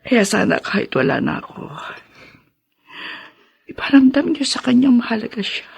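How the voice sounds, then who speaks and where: A woman playing a tender, ailing adoptive mother in a radio drama, speaking softly and emotionally, with halting phrases. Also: fairly high pitch, big pitch swings, vocal fry, husky, exaggerated voice. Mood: pleading, tearful.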